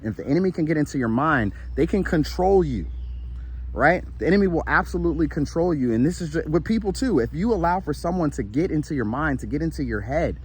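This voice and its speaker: A man's voice: on the high side, expressive, fast, a little mellow, husky. Earnest man vlogging on a walk outdoors. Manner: earnest, repetitive, with frequent "right?" and restarts; walking while he talks. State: tired and sweating. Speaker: spiritual motivational vlogger. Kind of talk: casual encouraging monologue. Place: outdoors in a shaded park.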